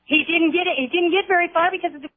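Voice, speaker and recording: A woman's voice: very high voice, very fast, no room sound. A woman calling a radio show over a muffled phone line, arguing in a hesitant yet stubbornly insistent way. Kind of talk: argumentative phone-in conversation. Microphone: telephone.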